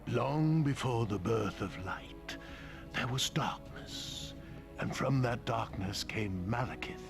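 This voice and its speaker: A man playing a vain, scornful trickster prince who narrates theatrically, pompous and sardonic, with dramatic pauses. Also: medium pitch, expressive, vocal fry. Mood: grand, ominous. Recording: music behind the voice.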